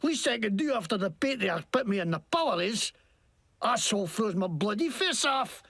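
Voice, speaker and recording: A swaggering wasteland crook in a video game with a badly faked Scottish brogue. His gravelly voice leaps all over the place as he rants, curses and protests. It sounds dry and close. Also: sits high, big pitch swings, normal speed, a bit bright, no room sound, full character voice. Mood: indignant. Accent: put-on, badly faked Scottish. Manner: profane, jumpy, indignant ranting. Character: crude scheming wasteland grease-monkey man faking Scottish. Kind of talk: video game character acting.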